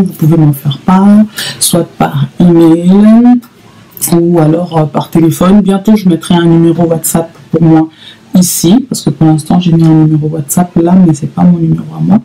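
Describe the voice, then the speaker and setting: Brief: woman, 30s, gravelly and mellow, hesitant casual vlogger, faint noise behind. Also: fairly low pitch, fairly even intonation, moderate pace, low-quality recording. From Congo (DRC). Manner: hesitant, many fillers and repeats. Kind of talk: casual solo vlog to camera.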